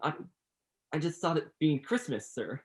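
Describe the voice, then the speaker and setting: A man's voice: on the high side, lively intonation, normal speed. An actor playing a timid, deferential male clerk in a radio play, hesitant and apologetic. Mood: meek, hesitant.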